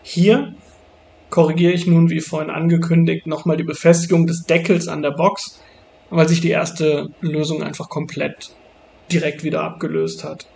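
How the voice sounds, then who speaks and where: A man's voice: on the high side, flat delivery, normal speed, vocal fry, darkish tone, slight background noise. A man giving a casual voice-over as the maker in a hands-on build video.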